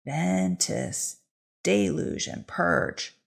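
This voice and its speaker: A woman's voice: fairly low pitch, animated, very slow, vocal fry, heavily acted. A woman voicing a fierce, indignant accused witch with archaic, rhetorical speech in a storybook reading. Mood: incanting.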